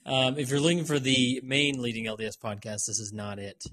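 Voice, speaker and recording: A man's voice: mid-range voice, level tone, a little fast, a bit bright. A man hosting a casual podcast from his college classroom, joking and laughing often as he questions his students. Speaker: podcast host and college instructor.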